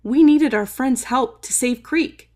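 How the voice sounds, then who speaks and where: A woman reading a children's story aloud expressively, with dramatic emphasis and some drawn-out words. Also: sits high, dark tone. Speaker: storybook reader. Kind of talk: expressive children's read-aloud, narrating in first person.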